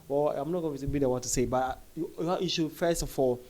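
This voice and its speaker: A man's voice: mid-range voice, brisk, darkish tone. A man from Africa with an African accent gives casual news commentary, talking conversationally to viewers and often repeating his phrases.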